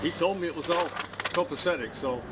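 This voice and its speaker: A man's voice: fairly high pitch, fairly quick. A man from Michigan with a Midwestern accent, a driver stopped by police who answers hesitantly, stammering with many fillers. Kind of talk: nervous roadside conversation. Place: roadside, outdoors. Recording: very noisy roadside dashcam audio.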